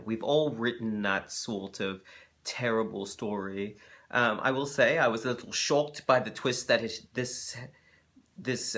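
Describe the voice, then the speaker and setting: A man with a fairly low voice gives reflective commentary. He speaks thoughtfully and haltingly, hedging as he works through each point. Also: fairly bright tone. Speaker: reaction video host.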